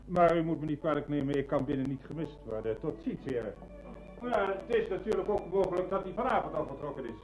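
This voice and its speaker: Acteur als gehaaste, snelpratende voorzitter. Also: male voice, fairly high pitch, lively intonation, fast talker, music in the background, lightly acted. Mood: hurried, evasive. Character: busy, evasive male dinner chairman. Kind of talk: Dutch radio drama acting. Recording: dull old radio recording.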